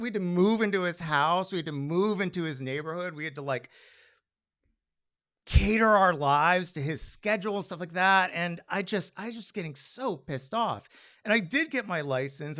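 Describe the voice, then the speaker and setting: A man telling a personal story on stage in an animated, conversational way with comic timing. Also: on the high side. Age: adult.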